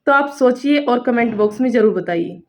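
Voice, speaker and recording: A woman's voice: fairly high pitch, expressive, brisk. A woman telling a story to viewers informally, repeating phrases and building suspense as a storytelling coach would. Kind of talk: spoken storytelling, explaining to viewers. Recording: dull lo-fi recording.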